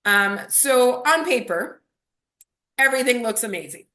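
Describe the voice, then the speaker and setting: Woman from miami, spanish is her first language, bright forward voice, reflective, telling her story as a guest. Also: on the high side, expressive, measured pace. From Miami, USA. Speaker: interview guest. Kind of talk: personal storytelling in an interview.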